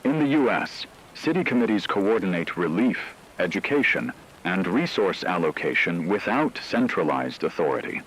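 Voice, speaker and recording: A man with a deep voice reads a formal scripted news report as a radio co-anchor. His delivery is measured, and there is faint rain-like noise behind him. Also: sing-song, a bit bright, low-quality recording.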